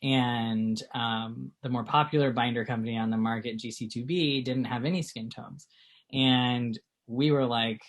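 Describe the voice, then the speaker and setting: A man's voice: medium pitch, steady tone, measured pace. Man, late twenties, from Texas, small business co-owner, chatty, casual interview talk. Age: 20s. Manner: chatty, lots of fillers, laughs easily. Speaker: co-owner of a small clothing company.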